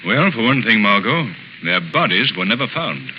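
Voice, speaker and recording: Assured gentleman detective, lowish voice, animated, old 30s radio drama. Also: normal speed. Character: assured, thoughtful gentleman amateur sleuth. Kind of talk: 1930s radio drama acting. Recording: muffled, noisy 1930s radio recording.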